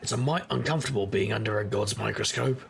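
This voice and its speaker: An actor playing a wry, sardonic supernatural private investigator who narrates in conversational noir style. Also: male voice, on the low side, quick pace, gravelly, slight background noise. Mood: wry, reflective. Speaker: narrator and lead character. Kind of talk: noir first-person scripted narration.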